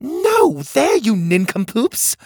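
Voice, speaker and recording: Man putting on a shrill little cog girl. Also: sing-song, moderate pace, gravelly, breathy edge, no room sound, clean studio sound, exaggerated voice. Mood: exasperated. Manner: shrill, impatient and scolding. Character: small bossy mechanical cog girl, feisty. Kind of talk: storybook character voice.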